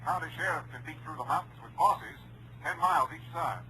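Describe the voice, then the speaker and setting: An American actor playing a grave, businesslike headquarters officer in an old radio drama, brisk and clipped. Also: male voice, fairly high pitch, lightly acted. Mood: grave, urgent. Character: businesslike male Ranger headquarters officer giving grave reports. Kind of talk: 1950s radio drama acting. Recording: noisy, humming old radio recording.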